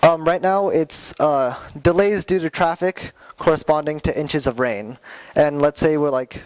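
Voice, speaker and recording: A man's voice: a little high, unhurried, smooth, dry recording, poor audio. A young man teaching a class, speaking with many fillers and restarts, recorded by a camera across a classroom. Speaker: class instructor. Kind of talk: classroom lecture. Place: classroom with projector.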